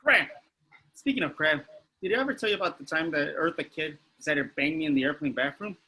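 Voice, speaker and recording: A man's voice: on the high side, fast talker, sharp tone, breathy edge, exaggerated voice. A man playing a crude, boastful, oblivious older man in a sitcom table read. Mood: crass, grumbling. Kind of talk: sitcom script read aloud.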